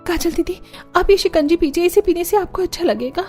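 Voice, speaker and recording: A woman's voice: extremely high pitch, a little fast, a little mellow, soft background music, voice pushed up. A woman voicing a kind, anxious young daughter-in-law in a cartoon family drama, pleading earnestly and politely. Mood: gentle, caring.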